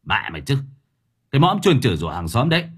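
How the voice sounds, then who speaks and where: A man's voice: mid-range voice, wildly swooping pitch, fast talker, slightly rough. A man voicing a panicky, foul-mouthed village man who wails and curses. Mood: raging. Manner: wailing, cursing, yelling.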